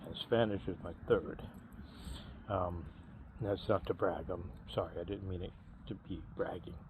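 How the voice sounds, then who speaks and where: A man in his fifties speaks in a low, unhurried voice, chatting to camera with frequent pauses, fillers and restarts. Faint background noise sits under the recording. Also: fairly even intonation, phone-quality sound. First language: English. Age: 50s. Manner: halting, many fillers and restarts. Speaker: vlogger. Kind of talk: casual personal talk to camera.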